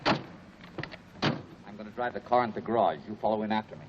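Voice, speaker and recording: An actor playing a resolute crime-fighting hero in a 1940s film serial, speaking in a terse, commanding way. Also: male voice, lowish voice, fairly even intonation, normal speed, heavy background noise, close to an everyday voice. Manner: terse, matter-of-fact, commanding. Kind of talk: 1940s movie serial acting. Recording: muffled old 1940 film soundtrack, music.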